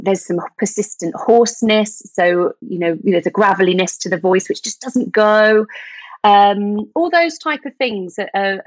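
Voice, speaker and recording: A woman's voice: mid-range voice, dry recording. A woman, a vocal health expert, answering interview questions in a fluent, informative way with frequent small hesitations. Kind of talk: podcast interview, explanatory.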